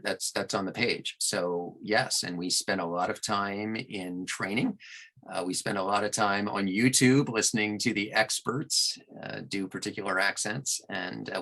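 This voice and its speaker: Male interview guest, lower register, clear forward brightness, deliberate explainer talking shop. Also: poor audio. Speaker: audiobook narrator and producer, podcast guest. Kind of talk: conversational interview answers.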